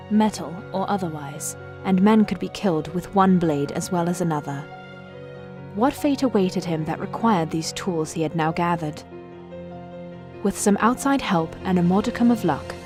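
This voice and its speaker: A woman's voice: on the low side, lightly acted. A woman playing a solemn storyteller, narrating a fantasy audio drama in a literary manner. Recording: music clearly behind the voice.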